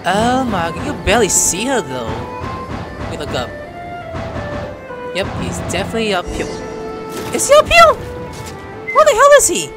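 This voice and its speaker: A woman giving casual, excitable, exclamatory commentary while playing a video game, sometimes slipping into playful squeals. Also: medium pitch, normal speed, long pauses. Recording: loud game music behind.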